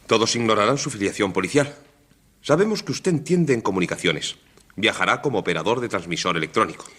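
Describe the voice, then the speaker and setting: An actor in a radio drama playing a stern, solemn government chief with a husky voice and formal, commanding delivery. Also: male voice, lowish voice, animated, fast talker, a bit bright.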